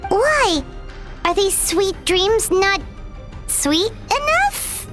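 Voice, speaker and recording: An actress voicing a tiny, eager, childlike dream creature in a video game. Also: female voice, extremely high pitch, sing-song, very slow, a bit bright, faint music behind, heavily acted. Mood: plaintive.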